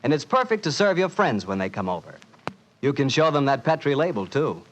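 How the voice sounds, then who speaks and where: A man's voice: fairly high pitch, very animated, fairly quick, a little hiss or hum. A man with an American accent announcing in a folksy, salesmanlike 1940s radio style.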